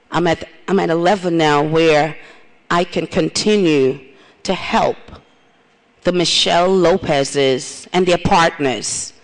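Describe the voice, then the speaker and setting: A middle-aged woman from Trinidad giving an earnest, testimonial conference speech with repeated phrases. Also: on the low side. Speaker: conference speaker. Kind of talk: plenary speech. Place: large conference hall. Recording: reverberant hall.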